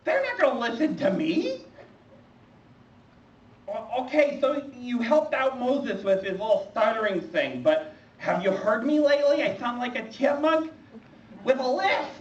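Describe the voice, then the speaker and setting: Someone doing a squeaky lisping young prophet. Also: big pitch swings, a little slow. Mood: panicky. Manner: stammering, fretful complaining. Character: young reluctant prophet Jeremiah, nervous and whiny. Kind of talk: children's church puppet skit. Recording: roomy, distant, muffled, faint noise.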